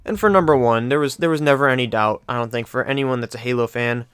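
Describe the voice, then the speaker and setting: A man's voice: medium pitch, quick pace, fairly bright tone, smooth, dry recording. A man hosting a countdown video, giving casual, enthusiastic commentary with repeated emphasis. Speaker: video host.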